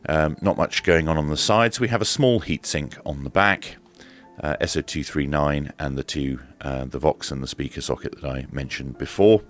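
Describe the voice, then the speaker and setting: Very deep-voiced British man, casual reviewer. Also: moderate pace. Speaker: reviewer presenting a product video. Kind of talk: casual unboxing and demonstration commentary.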